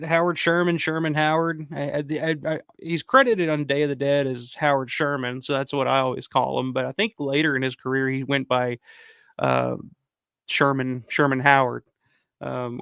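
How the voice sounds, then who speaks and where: A man hosting a casual livestream, hoarse from a cold, rambling and swearing as he reads viewer comments. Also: medium pitch, fairly bright tone, no echo. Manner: rambling, profane, frequent restarts. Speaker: livestream host.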